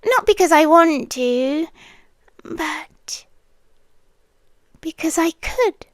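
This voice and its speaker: A British woman playing an obsessive, possessive landlady girlfriend in an intimate ASMR roleplay, soft and breathy, often dropping into whispers, close to the mic. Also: very high-pitched, animated, no echo, exaggerated voice. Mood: obsessive, menacing. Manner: slow, intimate, coaxing, possessive. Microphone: close, dry microphone.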